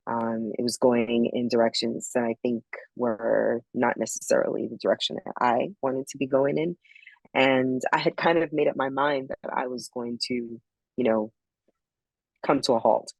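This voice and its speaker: A woman's voice: very deep voice, fairly even intonation, normal speed, gravelly, darkish tone, no room sound, rough recording. A middle-aged woman from Barbados, a podcast guest telling her story in a reflective, casual way with many ums. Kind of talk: casual interview chat.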